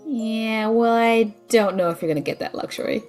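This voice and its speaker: A woman's voice: on the high side, moderate pace, a little mellow, faint music behind, close to an everyday voice. A woman playing a spirited, bossy but loyal young female adventurer who teases, in an audio drama. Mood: teasing.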